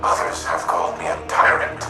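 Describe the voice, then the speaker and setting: A very deep, echoing machine mind. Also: male voice. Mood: solemn regret. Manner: formal, deliberate, analytical phrasing. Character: grave, remorseful male artificial mind turned humanlike. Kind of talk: scripted game cutscene acting. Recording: echoey, distant, loud music behind.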